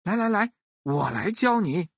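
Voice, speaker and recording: A woman's voice: medium pitch, sing-song, measured pace, husky, no echo, clean studio sound, exaggerated voice. A woman voicing a kind, clever little cowherd boy, cheerful, confident and eager, in a children's story. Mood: reassuring, eager. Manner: eager, repeats words when calling out.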